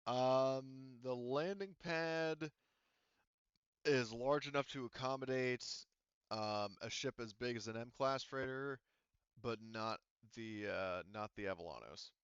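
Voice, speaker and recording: A man running a tabletop roleplaying game as game master, casually narrating and thinking aloud in a halting way. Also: medium pitch, a bit bright, clean tone, dry recording, highs cut off.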